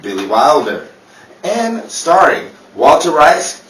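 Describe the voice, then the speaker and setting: A man with a higher, animated voice reads announcer copy for an old-time radio show and keeps stumbling. He is recorded at a distance from the mic in a small room, with clear noise behind him. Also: roomy sound. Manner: halting, stumbling over the script. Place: small indoor room dressed as radio studio.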